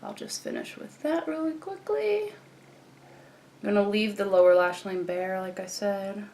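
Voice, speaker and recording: A young woman with a croaky morning voice, chatting casually as she walks through a makeup tutorial. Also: mid-range voice, unhurried. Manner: chatty, relaxed, frequent fillers and restarts. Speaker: beauty vlogger.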